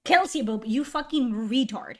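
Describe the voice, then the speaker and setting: Woman streamer going off, sarcastic and sweary, kinda high voice. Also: lively intonation, moderate pace. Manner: heated, sarcastic, profane. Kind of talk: ranting stream commentary.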